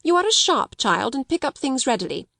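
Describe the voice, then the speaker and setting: A woman's voice: high-pitched, very animated, fairly quick, vocal fry, no echo, clean studio sound, voice pushed up, heavily acted. A woman reading the part of a stern, domineering headmistress, speaking coldly and sharply. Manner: cold, sharp, scolding. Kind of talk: audiobook character reading.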